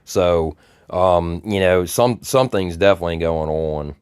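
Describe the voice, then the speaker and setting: A man, a bit raspy from just waking up, rambling through casual commentary with lots of fillers and repeated words. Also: sits low, unhurried, a little mellow, no room sound, studio quality. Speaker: tech vlogger. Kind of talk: casual screen-recorded commentary.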